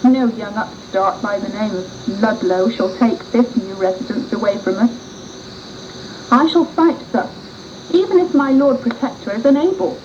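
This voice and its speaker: A woman's voice: mid-range voice, measured pace. A woman reading a scripted first-person historical story for radio in a formal, literary manner. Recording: muffled 1980s radio tape with hiss.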